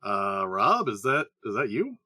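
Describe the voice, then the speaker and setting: A man's voice: lowish voice, wildly swooping pitch, measured pace, pushed and bright, slightly rough, no echo. A man playing himself in a comedic audio skit in a matter-of-fact way, with hesitant asides. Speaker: podcast cast member playing himself.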